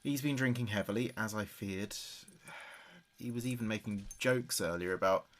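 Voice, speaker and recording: A man's voice: lowish voice, normal speed, a bit bright, lightly acted. An actor playing a grieving adult son in an audio drama, speaking hesitantly and confidingly as if taping messages for his dead father. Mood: worried. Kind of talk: audio drama monologue as a taped diary.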